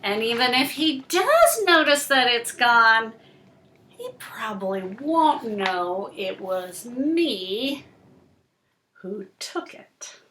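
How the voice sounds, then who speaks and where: A woman voicing a small, boastful fish thief in a picture book, confiding in a deliberate storybook delivery. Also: a little high, very animated, sharp tone, a little hiss or hum, full character voice. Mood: self-assured. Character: small sneaky fish, boastful, self-assured hat thief. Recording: roomy, echoing indoor sound.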